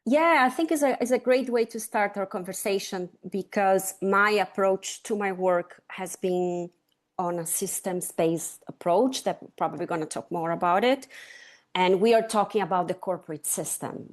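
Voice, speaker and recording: A woman in her fifties from Chile with a Chilean Spanish accent, explaining ideas step by step as an interview guest. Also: fairly low pitch. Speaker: guest, sustainability consultant. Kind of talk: conversational interview.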